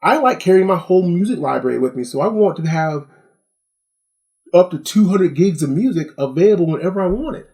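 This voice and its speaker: A man's voice: sits high, expressive, brisk, darkish tone. Middle-aged male reviewer, casual and wry, chatty delivery straight to the viewer. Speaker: gadget review host.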